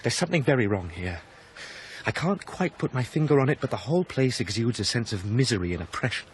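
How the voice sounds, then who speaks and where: An earnest, anxious Englishman, played by a male actor in a radio play. His delivery is insistent and articulate with lively rises and falls, over faint background ambience. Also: mid-range voice, fast talker, a little hiss or hum, close to an everyday voice. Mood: urgent, earnest. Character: earnest, indignant younger male house guest, protective and anxious. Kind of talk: 1990s radio drama acting.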